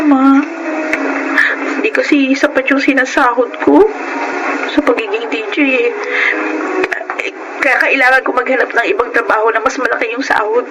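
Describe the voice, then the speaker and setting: An actress with a Filipino accent playing a sweet, eager young daughter in a radio drama. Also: female voice, high voice, background noise, lightly acted.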